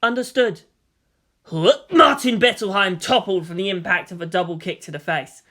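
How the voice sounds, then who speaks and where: Bright, forceful dramatic story narrator. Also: medium pitch, expressive, normal speed.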